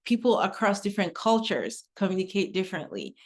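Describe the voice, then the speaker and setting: A woman's voice: mid-range voice, big pitch swings, a little fast. A middle-aged woman from Nigeria presenting a webinar talk in a conversational way, with personal stories. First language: English. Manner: conversational, uses personal anecdotes. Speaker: webinar speaker.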